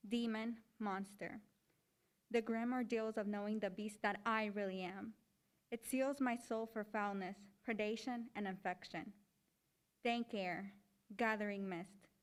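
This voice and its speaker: A young woman, a student, reading a dark poem aloud from a text. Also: mid-range voice. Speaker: student reader.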